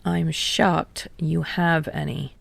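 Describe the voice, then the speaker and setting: A woman's voice: low voice, flat delivery, takes it slowly, gravelly, dry recording, full character voice. A woman voicing a sarcastic, defensive teenage boy with a dry, drawling, snappish delivery in a story reading.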